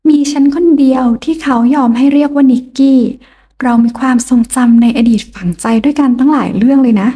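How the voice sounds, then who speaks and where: A woman's voice: sits high, fairly even intonation, brisk, mellow, slightly rough, voice pushed up. A woman voicing a sly young rival woman who teases and sneers in a sing-song voice. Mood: possessive.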